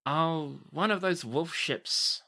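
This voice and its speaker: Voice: mid-range voice, slow, gravelly, pushed and bright, pitched above the performer's own voice, heavily acted. A game master voicing a blunt, kindly, chatty halfling woman alchemist in a tabletop game, given to folksy exclamations. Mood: chatty, grumbling.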